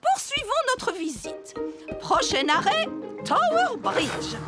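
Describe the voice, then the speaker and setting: Very high, swooping regal queen. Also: huge pitch swings, slow, full character voice. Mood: jolly, regal. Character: jolly, commanding elderly queen acting as tour guide. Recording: loud music behind the voice.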